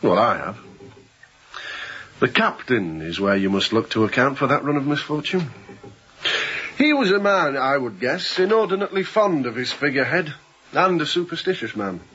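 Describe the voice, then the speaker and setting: An actor playing a youngish, sceptical ship's mate in a radio drama, with a smooth, sardonic, probing manner. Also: male voice, medium pitch, animated, normal speed, bright, forceful tone, dull audio.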